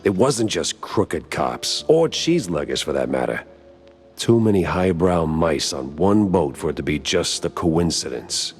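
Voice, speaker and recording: A man with an American accent voicing a world-weary, stubborn mouse private eye in a cartoon noir game, gruff and sardonic. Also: big pitch swings, unhurried, soft background music, exaggerated voice. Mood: brooding. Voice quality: deep, husky.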